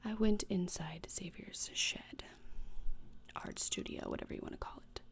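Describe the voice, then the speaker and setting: A young woman acting as a gothic teenage schoolgirl whispers with a gravelly creak, close to the mic. She is gloomy and morbid, delivering every phrase with deadpan, deliberate disdain in an ASMR roleplay. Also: on the low side, close to an everyday voice. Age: young adult. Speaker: ASMR creator. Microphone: close desktop microphone, seen.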